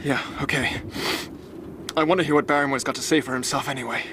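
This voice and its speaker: An actor playing a blunt, urgent young baronet who is both frightened and commanding in a radio drama. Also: male voice, medium pitch, steady tone, fast talker, background noise, lightly acted. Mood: shaken. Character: hot-headed young male baronet, frightened yet commanding.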